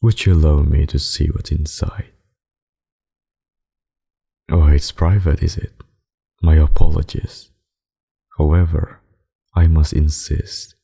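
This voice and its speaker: A man voicing a suave, possessive, menacing vampire in an ASMR roleplay, with formal, courtly, seductive speech and a gravelly, husky voice. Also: extremely low pitch, steady tone, slow, long pauses, warm tone, full character voice. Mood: courtly, insistent. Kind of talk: ASMR roleplay, dramatic monologue.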